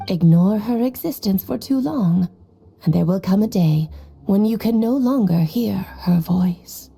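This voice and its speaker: A woman's voice: mid-range voice, slow, gravelly, mellow, a little hiss or hum. A woman voicing a sly, worldly mentor with playful, theatrical phrasing and cryptic advice in an anime-style game. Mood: teasing, knowing.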